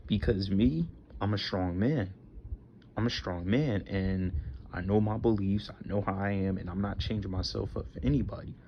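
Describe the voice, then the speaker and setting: A young man in his twenties talks on his own podcast in a lowish voice, earnest and repetitive, full of slang and fillers. The sound is dull and lo-fi, with a faint hiss underneath. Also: a little mellow. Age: 20s. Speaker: podcast host. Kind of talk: solo podcast monologue.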